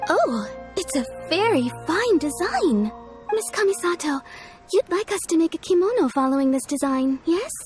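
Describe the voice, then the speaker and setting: An eager-to-please shopkeeper in a video game. The voice is very high and lively, full of deferential courtesy, over faint music. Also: female voice, moderate pace. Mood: deferential, pleased. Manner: deferential, customer-service politeness.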